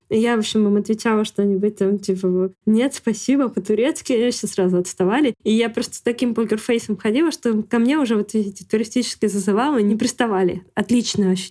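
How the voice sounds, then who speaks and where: A woman co-hosting a podcast, telling an animated, self-ironic story in casual chat. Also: mid-range voice. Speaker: podcast co-host.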